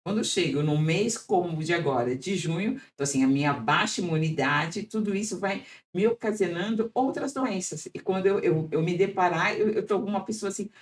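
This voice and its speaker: A woman's voice: on the low side, expressive, brisk, few pauses. A woman podcast host with a Brazilian Portuguese accent, reflecting aloud and often seeking agreement with tag questions. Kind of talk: podcast interview.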